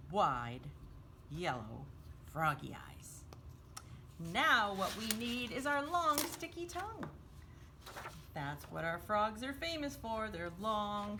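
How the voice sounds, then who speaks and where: A woman's voice: medium pitch, noisy background. A woman hosting a craft storytime for young children, giving simple, encouraging step-by-step instructions and often referring to herself in the third person.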